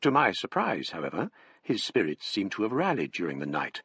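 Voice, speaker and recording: A British gentleman narrator tells a gothic tale. His voice is lowish and husky with lively intonation, recorded dry and close as radio drama narration. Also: a little fast, dry recording, heavily acted. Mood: grave, reflective. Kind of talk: scripted first-person narration in radio drama.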